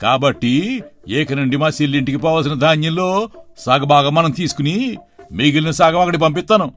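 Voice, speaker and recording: A man playing a greedy, smooth-talking middle-aged villager who schemes, speaking colloquial rural Telugu in a moral story. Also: fairly high pitch, normal speed, fairly bright tone, faint music behind, natural voice. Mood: gleeful scheming. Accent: Telugu rural dialect.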